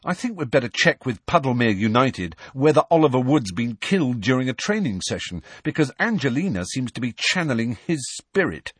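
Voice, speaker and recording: A man's voice: medium pitch, big pitch swings, brisk, hardly any pauses, slightly rough, no echo, voice pushed up. A man voicing a weary, glum teenage boy wizard who talks with dry sarcasm.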